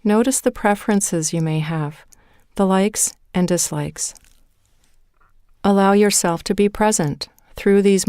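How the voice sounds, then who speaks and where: A woman leading a guided meditation in a calm, measured way, with deliberate pauses between phrases. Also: lowish voice, clear, resonant tone, no room sound, studio quality. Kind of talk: guided meditation, scripted narration.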